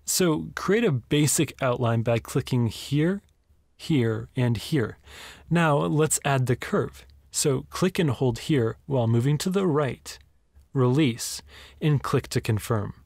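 A man's voice: medium pitch, expressive, takes it slowly, vocal fry, darkish tone, dry recording. A young man in his twenties giving an upbeat, encouraging step-by-step design tutorial, recorded in a small home studio. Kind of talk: screen-recorded software tutorial.

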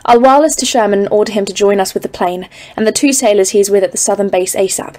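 A woman's voice: medium pitch, fast talker, a little mellow, close to an everyday voice. A woman acting in an audio drama as a haunted expedition leader of thirty-four, grave and literary, foreboding in tone. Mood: worried, resolute. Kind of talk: scripted audio-drama narration and dialogue. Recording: clearly noisy, ambient background.

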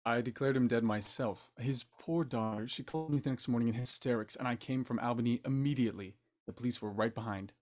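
A man's voice: mid-range voice, fast, few pauses, darkish tone, close to an everyday voice. A man playing a genial, nostalgic Victorian doctor and war veteran with old-fashioned phrasing, in a radio play. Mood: defensive. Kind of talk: radio play acting over video call.

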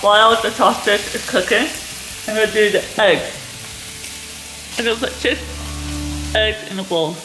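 A woman's voice: medium pitch, a little slow, long pauses. A young woman hosting a home cooking show in a cabin kitchen, explaining each step in short, simple sentences. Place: cabin kitchen with wooden walls, indoors. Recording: roomy with music behind.

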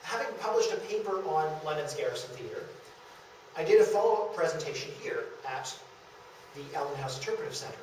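A man's voice: fairly high pitch, lively intonation, brisk. A man, seemingly in middle age, giving a storytelling history talk to slides, acting out bits of conversation. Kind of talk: public lecture with slides, storytelling. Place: small indoor lecture room at a historic house. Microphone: camera a few metres away. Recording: distant camera mic, very echoey room, faint noise.

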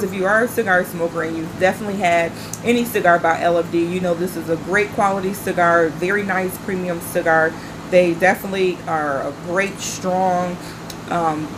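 Woman vlog host, 40s, a bit nasal from a stuffy nose, lowish voice, relaxed and chatty, patio recording with steady background noise. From Carolinas, US. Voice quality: a little nasal. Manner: relaxed, chatty, smoking while she talks. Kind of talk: casual chat to camera. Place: outdoor patio at night.

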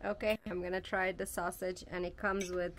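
A woman's voice: lowish voice, little pitch movement, moderate pace. Young woman vlogging outdoors over background noise. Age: young adult. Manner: chatty, playful. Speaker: travel vlogger. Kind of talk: casual travel vlog talk. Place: mostly outdoors, porch, garden and street. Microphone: camera at arm's length, sometimes small handheld mic.

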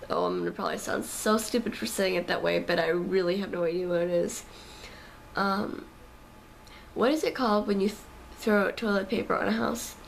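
Teen american girl vlogging in her bedroom, lots of ums, kinda fry-ish voice. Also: medium pitch, very animated, normal speed, slight background noise. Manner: rambling, filler-heavy, chatty. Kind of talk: casual webcam chat. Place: bedroom, indoors. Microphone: webcam at close range.

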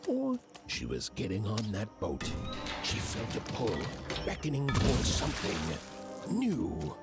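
A man narrating a fairy-tale video game in a hushed storytelling manner, over game music. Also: lowish voice, huge pitch swings, unhurried, heavily acted.

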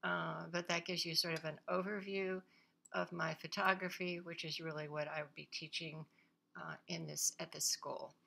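A woman's voice: sits low, flat delivery, normal speed, gravelly, bright, forceful tone. A middle-aged or older woman giving an informal, hesitant, rambling self-introduction as a photographer and teacher talks through a portfolio.

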